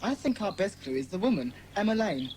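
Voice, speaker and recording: A woman's voice: medium pitch, sing-song, a little fast, noisy background, lightly acted. A woman acting a bossy, sensible schoolboy club leader in a dramatised children's audiobook. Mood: decisive. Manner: commanding, sensible.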